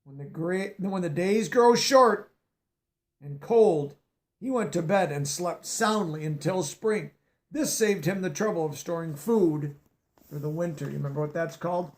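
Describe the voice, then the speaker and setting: A man's voice: high voice, a little slow. A man reading a children's picture book aloud, stopping to explain words in a teacherly way. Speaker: storybook reader.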